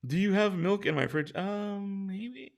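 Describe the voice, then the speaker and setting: A man with a hoarse, tired voice, casually reading out viewer questions and answering them on a late-night live stream. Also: high voice, expressive, a little slow.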